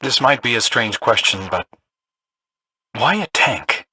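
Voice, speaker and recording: A man playing an earnest, curious adventurer in a video game, speaking in a gentle, hesitant, sincere way. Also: mid-range voice, expressive, slow pace, breathy edge, no echo, heavily acted. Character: earnest, curious, soft-hearted male adventurer.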